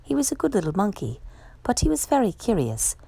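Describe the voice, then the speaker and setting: Expressive woman reading a children's story, swooping intonation. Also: medium pitch, creaky, husky, no room sound. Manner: expressive, measured storytelling delivery. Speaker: storytime reader. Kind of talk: children's picture book read-aloud.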